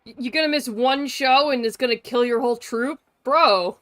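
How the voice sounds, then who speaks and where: Sarcastic high-pitched woman streamer. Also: normal speed, a bit bright. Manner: sarcastic, irreverent, sweary. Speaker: livestreamer. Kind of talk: casual game commentary.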